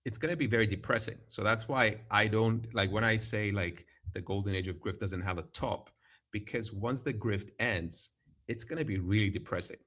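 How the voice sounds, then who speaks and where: Brief: man from Cyprus, fairly low voice, a rambling trader guest who keeps restarting his sentences. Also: normal speed, fairly bright tone. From Cyprus/Greece. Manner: rambling, many fillers and restarts. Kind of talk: casual podcast chat.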